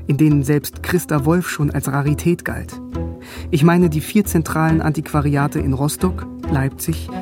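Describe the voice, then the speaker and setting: A man's voice: a little high, warm tone, close to an everyday voice. An actor playing a bookish young East German man, narrating and speaking in a radio drama with dry, precise irony. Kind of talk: first-person radio drama narration and dialogue. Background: music.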